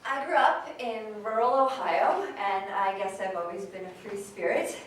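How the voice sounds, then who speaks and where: A woman's voice: medium pitch, unhurried, lightly acted. A woman playing a frank, loving, free-spirited mother telling plainspoken stories in a staged reading. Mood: fond, reminiscing. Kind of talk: staged reading, storytelling monologue. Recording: very echoey hall, distant mic, noisy.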